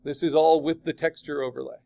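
A man teaching a digital art class, chatting casually and asking his students questions as he demos the software. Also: a little high, little pitch movement, fairly quick, gravelly. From California. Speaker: art instructor.

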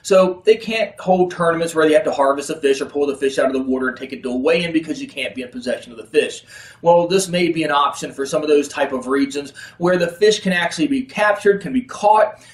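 A man's voice: fairly high pitch, fairly quick. A middle-aged man hosting a fishing video, explaining things to camera in a casual, earnest way, with frequent restarts and fillers. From Pennsylvania, USA. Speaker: kayak fishing video host. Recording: roomy, slightly distant sound.

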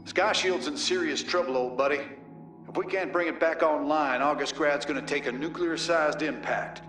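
Guy playing tired gruff space soldier, folksy, game cutscene, echoey with music behind. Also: on the high side, moderate pace, a bit bright, natural voice. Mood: urgent. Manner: folksy, plainspoken. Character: weary, gruff middle-aged human space-marine rebel commander. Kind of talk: video game cinematic acting. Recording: echoing, distant, music underneath.

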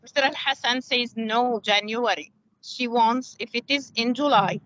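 A middle-aged woman from Palestine hosting a webinar, reading out chat answers slowly with many fillers, over a video call. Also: on the high side, fairly bright tone. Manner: halting, many fillers, slow careful reading. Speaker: webinar host. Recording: dull lo-fi video call audio.

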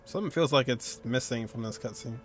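A man's voice: mid-range voice, fairly quick. Young man streaming, casual game reactions. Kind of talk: casual live reaction commentary. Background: game music.

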